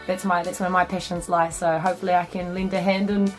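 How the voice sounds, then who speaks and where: A woman's voice: fairly low pitch, flat delivery, fast, music in the background. A woman, easygoing and a bit hesitant, introducing herself from a small bedroom at home. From New Zealand. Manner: easygoing, hesitant, many fillers. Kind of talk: casual self-introduction.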